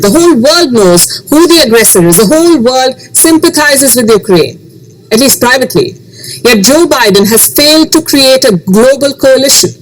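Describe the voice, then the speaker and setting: A woman hosting a scripted news commentary, speaking emphatically with rhetorical questions and dramatic pauses. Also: sits high, a little hiss or hum, poor audio.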